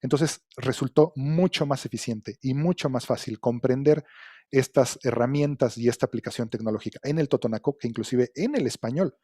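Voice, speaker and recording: A middle-aged man giving an academic lecture, measured and explanatory, often checking in with "¿no?". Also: medium pitch, warm tone, slightly rough, no echo, clean studio sound. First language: Spanish. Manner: measured, explanatory, with frequent fillers and "¿no?" tags.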